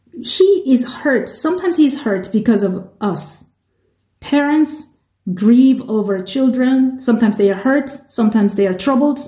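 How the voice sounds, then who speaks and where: A woman's voice: fairly high pitch, mellow. Woman interpreting a sermon in a roomy hall. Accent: Korean. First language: Korean. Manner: measured, halting interpreting with restarts. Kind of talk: live English interpretation of a sermon.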